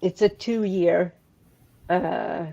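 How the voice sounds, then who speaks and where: A Swedish-accented woman talks slowly over a muffled, lo-fi call line. Her voice sits fairly low, and she rambles with frequent restarts and fillers. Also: lively intonation, vocal fry, warm tone. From Sweden. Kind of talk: casual group chat.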